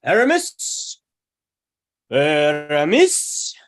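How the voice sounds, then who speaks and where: Low-voiced woman as touchy young actor guy, very animated. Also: very slow, heavily acted. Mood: salesy. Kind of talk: sitcom script table read.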